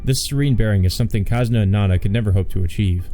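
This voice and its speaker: Low, mellow-voiced man reading a visual novel. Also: racing, faint music behind. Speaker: let's play host. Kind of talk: visual novel read-aloud with casual commentary.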